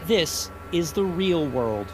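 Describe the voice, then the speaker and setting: A man's voice: on the high side, animated, takes it slowly, noisy background. An actor playing a cold, haughty young male student in an English game dub, condescending and accusatory.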